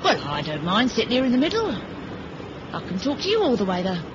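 A tough, possessive British female agent needles and teases with very animated intonation. The 1970s radio drama recording is dulled, with clear noise underneath. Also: a little high, normal speed, muffled recording. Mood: jealous, arch. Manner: needling, sarcastic.

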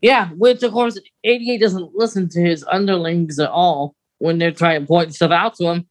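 A woman's voice: fairly low pitch, fairly even intonation, moderate pace. A woman co-hosting a podcast, giving a casual, conversational recap of a story. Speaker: podcast co-host.

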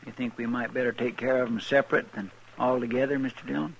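Loyal deputy played by a man, medium-pitched, folksy and deferential, on a noisy vintage radio western recording. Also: level tone, fast talker, gravelly, lo-fi sound, lightly acted. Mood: cautious. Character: anxious, loyal frontier deputy, deferential sidekick. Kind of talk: 1950s radio western acting.

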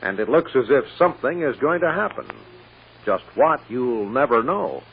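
A man narrating as the announcer of a 1940s radio serial, with dramatic, teasing pauses. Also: medium pitch, lively intonation, vocal fry, a little hiss or hum. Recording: muffled, narrow vintage radio recording.

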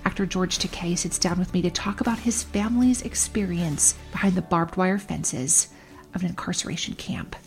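A woman's voice: fairly low pitch, moderate pace, faint music behind. Woman reading a podcast promo. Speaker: podcast host. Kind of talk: scripted promo read.

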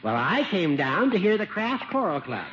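An actor playing a genial, needling elderly judge, an old crony in a 1950s radio comedy. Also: male voice, high-pitched, sing-song, moderate pace. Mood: genial, teasing. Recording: muffled old radio broadcast, faint noise.

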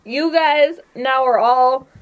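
A woman's voice: high voice, slow, lo-fi sound. A woman hosting and narrating a tabletop game as game master, in a casual style. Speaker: game master and podcast host.

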